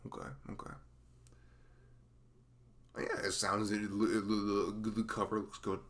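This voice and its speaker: A man playing a dorky, playful male best friend in an ASMR roleplay, with a velvety voice and a halting, rambling, teasing manner. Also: fairly low pitch, completely flat delivery, fairly bright tone.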